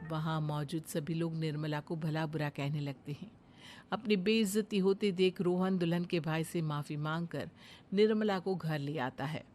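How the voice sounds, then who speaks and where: A woman's voice: deep voice, normal speed, a little mellow, soft background music. Woman narrating a cartoon moral story.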